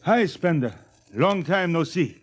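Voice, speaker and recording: A man's voice: on the high side, lively intonation, unhurried. An actor playing a plainspoken, good-natured American spaceman with folksy, informal talk in 1950s radio drama style. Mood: easygoing. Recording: faintly noisy old 1950s radio recording.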